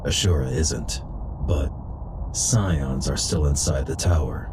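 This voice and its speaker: A man playing a calm, weary, quietly kind warrior in a video game, speaking in a terse, understated way. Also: extremely low pitch, slow, noisy background. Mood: calm, serious.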